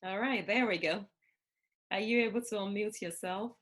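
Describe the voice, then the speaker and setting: This woman is hosting an interview over a video call. she introduces her guest in an earnest, encouraging way and drops in small "um"s. her voice sits at an ordinary middle pitch. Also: moderate pace, a bit bright. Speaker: host.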